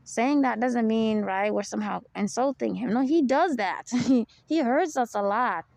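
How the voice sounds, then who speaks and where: A young adult woman hosting a personal podcast, with a low, deep voice and a rambling, confessional way of talking, full of "you know" and soft laughs. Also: moderate pace, creaky. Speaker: podcast host.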